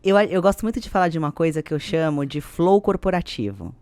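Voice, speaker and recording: A woman's voice: deep voice, very animated, fairly quick, a little mellow, no room sound. A woman with a Brazilian accent speaking as an executive guest, explaining ideas conversationally and emphatically, with repetition and quoted phrases. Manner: emphatic, builds points with repetition and quoted speech. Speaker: podcast guest, business executive and mentor.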